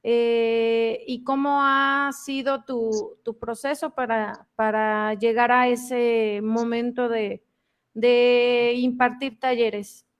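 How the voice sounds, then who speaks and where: A woman hosting a cultural radio program, courteous and unhurried with fillers. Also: on the high side, flat delivery, clean tone, lo-fi sound. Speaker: radio show host.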